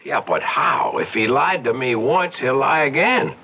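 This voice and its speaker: Blunt skeptical investigator guy, lively pitch, radio mystery on a muffled old recording. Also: measured pace, slightly rough, exaggerated voice. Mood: puzzled, practical. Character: skeptical, matter-of-fact male investigator, plainspoken and persistent. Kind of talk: old-time radio mystery drama acting. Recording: dull, noisy old radio drama recording.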